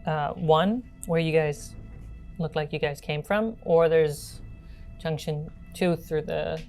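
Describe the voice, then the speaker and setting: A woman acting as game master, narrating a tabletop role-playing game in a halting, conversational way. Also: low voice, a little mellow. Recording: background music under the voice.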